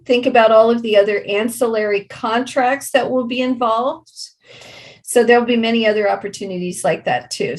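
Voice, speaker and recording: A woman explaining things in an interview as a project representative, in a deliberate and measured manner. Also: fairly high pitch.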